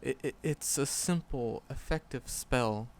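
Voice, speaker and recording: A man's voice: mid-range voice, lightly acted. A man playing a shy, flustered, lovestruck magic professor in a roleplay audio drama, stammering and hesitant. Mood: bashful confession. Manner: nervous, heavy stammering, hesitant, halting. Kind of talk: roleplay audio drama, speaking softly to the listener.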